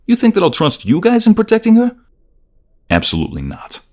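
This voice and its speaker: A man playing a protective ex-mobster in an audio roleplay drama, husky and breathy, defiant with others and tender with his fiancée. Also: high-pitched, wildly swooping pitch, a little fast, mellow. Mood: angry, defiant. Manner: intense, protective.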